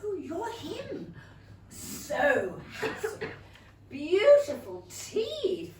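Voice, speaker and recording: A woman's voice: extremely high pitch, wildly swooping pitch, extremely slow, full character voice. An actress playing a flustered, scheming middle-aged Regency mother with an English accent in a comic staged reading. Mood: gushing, scheming. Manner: flustered, giggly, insinuating. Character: gushing, scheming matchmaking Regency mother of five. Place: small theatre stage. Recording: roomy theatre, distant mic, faint noise.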